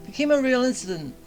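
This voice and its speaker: A man giving casual, loose and reactive commentary over a game he is streaming. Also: very high voice. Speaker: gaming streamer. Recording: game music behind the voice.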